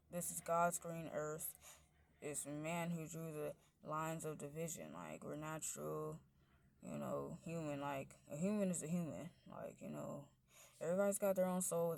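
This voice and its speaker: Gravelly deep-voiced woman rambling. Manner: rambling, fills every phrase with "like" and "you know"; pitch and loudness wander up and down. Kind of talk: casual spoken monologue.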